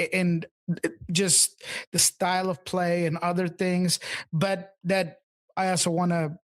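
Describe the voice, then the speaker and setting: A man with a slightly raspy voice co-hosting a casual sports podcast, talking loosely and emphatically with lots of fillers and restarts. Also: high voice. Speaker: podcast co-host, team insider.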